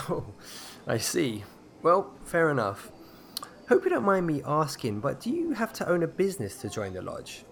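An actor playing a polite, eager newcomer to a village in an audio drama, hesitant and apologetic. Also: male voice, a little high, wildly swooping pitch, moderate pace, gravelly, a little hiss or hum, full character voice. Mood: polite, curious. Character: eager, awkward, polite newcomer husband from London. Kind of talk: naturalistic audio drama acting.

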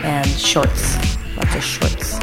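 A woman with an American accent telling a casual, humorous anecdote in a halting way, often interrupting herself. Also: loud music behind the voice. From US East Coast. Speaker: improv comedy troupe guest storyteller.

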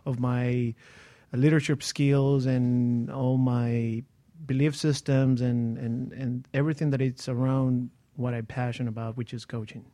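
A man who works as a coach, co-hosting a talk radio show and speaking haltingly as he searches for his words. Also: medium pitch, level tone, warm tone. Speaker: co-host, coach.

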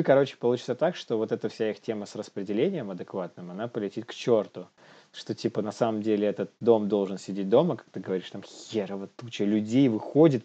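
A man's voice: lowish voice, dark tone, muffled recording. A young man co-hosting a casual news chat in an informal, slangy manner, often chuckling as he talks. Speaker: podcast co-host.

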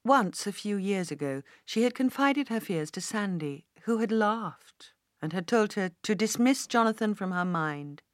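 A woman reading a novel as an audiobook narrator in a measured, articulate way. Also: medium pitch, expressive, no room sound, clean studio sound. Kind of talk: scripted fiction narration.

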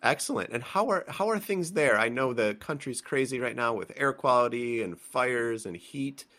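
A man's voice: medium pitch, lively intonation, moderate pace, bright, forceful tone. A man hosting a podcast, introducing his guest and asking friendly, upbeat questions. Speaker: podcast host. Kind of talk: podcast interview.

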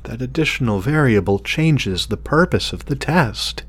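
A man's voice: mid-range voice, animated, normal speed, fairly bright tone, slightly rough. An actor playing an irritable, obsessive scientist muttering hesitantly to himself and his drone in an audio drama. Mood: wary, stern. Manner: muttering, self-reassuring, hesitant, swearing.

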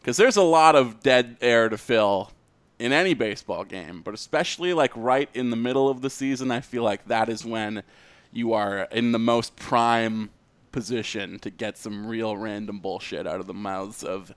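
A man's voice: fairly low pitch, normal speed, clean tone, no room sound. A man co-hosting a podcast in casual sports chat, talking conversationally and swearing freely. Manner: profane, conversational. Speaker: podcast co-host.

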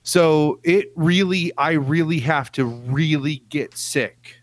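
A man's voice: on the high side, fairly even intonation, slow, dry recording. A man co-hosting a podcast in casual chat, emphatic and loose, swearing casually and filling with "like" and "you know". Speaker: podcast co-host.

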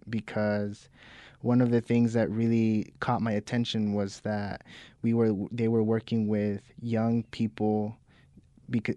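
Earnest low-voiced young man. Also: warm tone, no room sound. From Mexico. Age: 20s. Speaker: program coordinator guest. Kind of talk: radio interview.

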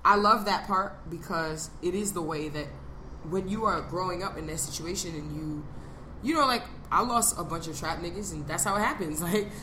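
Young woman co-host, lower pitch, forceful bright tone, animated banter, roomy recording with faint noise. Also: moderate pace. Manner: animated, profane, drawls when musing. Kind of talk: casual chat. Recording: echoey room with background noise.

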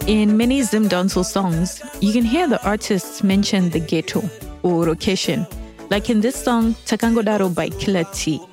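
A woman hosting and narrating a documentary episode in a clear, measured way. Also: mid-range voice, over music. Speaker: documentary host. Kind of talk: scripted narration.

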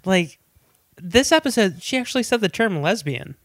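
A podcast co-host in a casual chat, playful and quick to laugh. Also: medium pitch, very animated, dry recording, studio quality.

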